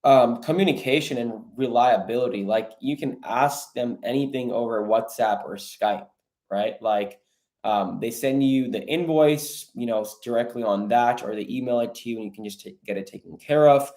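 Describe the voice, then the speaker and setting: A man's voice: mid-range voice, normal speed, darkish tone. A man hosting a livestream, answering viewer questions in a casual, coaching style and chatting informally with his audience. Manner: informal, addresses viewers as bro and guys. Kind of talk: casual live Q&A, coaching online sellers.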